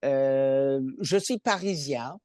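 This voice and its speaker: A man in his seventies from California with an American accent, talking slowly and warmly as a patient guest in a webinar interview. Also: mid-range voice, clean tone, no room sound, clean studio sound. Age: 70s.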